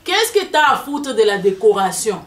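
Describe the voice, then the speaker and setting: Lively woman, echoey room. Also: a little high, moderate pace, recorded from a distance. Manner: lively, mixes Lingala into French. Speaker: guest. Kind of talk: opinionated discussion. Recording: echoey room, speaker off mic.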